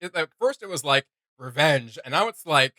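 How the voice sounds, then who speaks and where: Reaction host: male, bright and forceful, dry sound, casual joking slangy chat. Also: on the high side, moderate pace, dry recording. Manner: animated, slangy, excitable.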